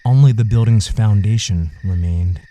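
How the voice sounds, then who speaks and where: A man narrating a literary audio story in a measured, solemn way. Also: on the low side, dark tone.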